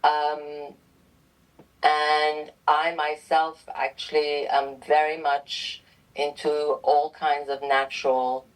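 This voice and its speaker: A woman's voice: sits low, monotone, low-quality recording. A woman from Iran, a guest author, answering interview questions thoughtfully with frequent ums. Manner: slow, thoughtful, many ums.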